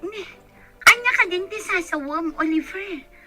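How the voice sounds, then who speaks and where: A woman playing a brash, demanding young woman in a radio-style drama, speaking in a sharp, indignant manner. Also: very high voice, expressive, measured pace, over loud music.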